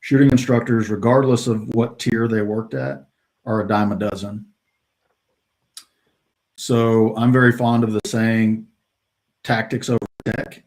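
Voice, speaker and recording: A middle-aged man from the United States, a retired soldier, telling his career story as a podcast guest in a relaxed way with many fillers. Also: on the low side, measured pace, pauses often. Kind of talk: casual interview talk.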